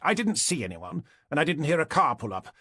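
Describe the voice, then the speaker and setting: A man's voice: fairly high pitch, sing-song, fairly quick, pushed and bright, no room sound, clean studio sound, voice pushed up, heavily acted. Man putting on a clipped, pompous British neighbour. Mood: testy. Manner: pompous, exasperated. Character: indignant, posh middle-aged male neighbour, pompous complainer.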